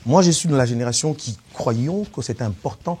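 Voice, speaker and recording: A man's voice: mid-range voice, animated, moderate pace, mellow, breathy edge. A man of Congolese origin, a political candidate, arguing his case in a debate, insistent and emphatic, often repeating words. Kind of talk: debate on a web TV show.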